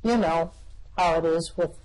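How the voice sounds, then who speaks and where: An actor playing a bodiless custodian, calm, deadpan, unhurried, mid-pitched. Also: lightly acted, low-quality recording. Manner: slow, deadpan, matter-of-fact. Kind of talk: amateur radio-play reading.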